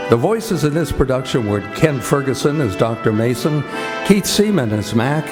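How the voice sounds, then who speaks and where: A man announcing in a formal old-time radio style as the show's announcer. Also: mid-range voice. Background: music.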